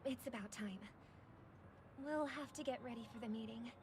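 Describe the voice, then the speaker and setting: Woman voicing a high, gentle young lady. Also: normal speed, background noise, close to an everyday voice. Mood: polite. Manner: soft, polite, earnest. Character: gentle, earnest, idealistic young lady, leader's sister. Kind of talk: video game voice acting. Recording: loud music over the voice.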